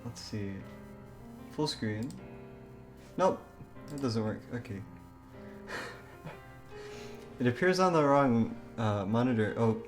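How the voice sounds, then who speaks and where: A man's voice: mid-range voice, expressive, slow pace, long pauses. A man hosting a live stream in a casual, chatty way, giggly and easily sidetracked. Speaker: livestreamer. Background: background music.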